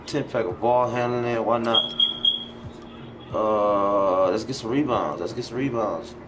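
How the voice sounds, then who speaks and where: A man's voice: lowish voice, measured pace, over loud music. A man hosting a gaming livestream, chatting casually in slangy, profane language. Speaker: game livestream host. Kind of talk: casual gaming stream chat. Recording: noisy home stream with game ambience.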